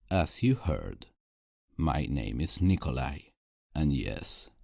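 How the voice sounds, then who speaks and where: Man playing a male vampire villain, extremely low gravelly voice, slow, taunting, theatrical, dark ASMR roleplay. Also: dry recording, clean studio sound, heavily acted. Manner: theatrical, taunting, mock-polite, drawn-out.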